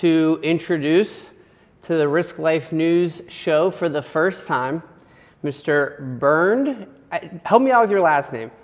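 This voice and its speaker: A man hosting an interview in a casual, upbeat way, using lots of fillers and trailing off quietly. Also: fairly high pitch, takes it slowly, long reverb tail. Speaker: show host.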